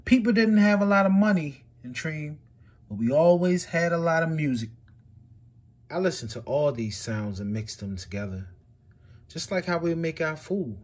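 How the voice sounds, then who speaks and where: A man reading a picture book aloud with expressive, swinging delivery. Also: moderate pace. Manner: expressive storytelling with big swings in pitch and loudness.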